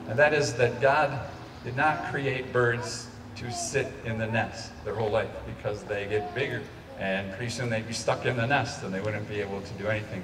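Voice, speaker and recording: An American pastor in his sixties speaks to a congregation with measured, declamatory delivery. His voice comes from a distance over speakers at an outdoor service, with a long reverberant tail and clear background noise. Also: mid-range voice. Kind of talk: reading scripture and addressing a congregation at a church service. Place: outdoor lawn service beside a church. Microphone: handheld microphone through speakers, picked up from afar.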